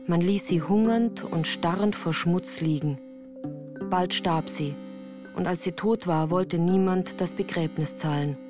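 A melancholy actress as a woman looking back on a hard youth in a radio play. Her voice is on the low side, telling the story in a measured, earnest way with clear music behind it. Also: lightly acted. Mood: grave, sorrowful. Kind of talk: scripted literary narration for radio drama.

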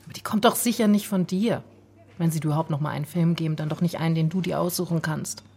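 A woman's voice: low voice, fast talker, gravelly, a little mellow, breathy edge, exaggerated voice. A woman playing a weary, teasing film actress who complains sardonically in a radio drama. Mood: weary, sardonic.

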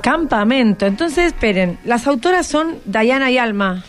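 A woman's voice: on the high side, lively intonation, moderate pace, faint music behind. A woman with an Argentine accent hosting a casual, educational radio interview, encouraging and full of short questions. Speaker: radio host interviewing schoolchildren.

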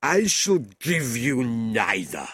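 A man's voice: medium pitch, wildly swooping pitch, a bit bright, slightly rough, dry recording, studio quality, pitched above the performer's own voice, full character voice. A man voicing a scornful, drunken aristocrat villain with a sneering drawl in a storybook reading. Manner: slow, sneering, drawling delivery. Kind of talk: audiobook character voice.

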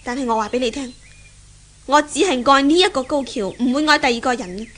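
High, bright, stubborn young woman. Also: a little fast. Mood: firm, earnest. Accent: Hong Kong Cantonese. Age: young adult. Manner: earnest, righteous, firm. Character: stubborn, principled young woman defending her love. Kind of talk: 1970s radio drama acting. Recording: 1970s radio drama recording.

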